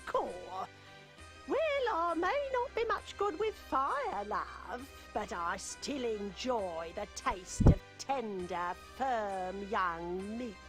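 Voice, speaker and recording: A woman playing a menacing old female dragon in a video game, speaking with slow, mock-frail relish and playful hunger. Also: mid-range voice, big pitch swings, rough recording. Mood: sly, menacing. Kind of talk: video game audio drama acting. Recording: music behind the voice.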